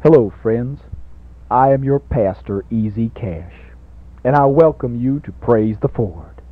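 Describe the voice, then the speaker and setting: Narrow-band radio recording, man acting male evangelist, mid-pitched, pompous, emphatic, repetitive preacher cadence, greedy, parody sermon. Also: measured pace, close to an everyday voice. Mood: grandly grateful.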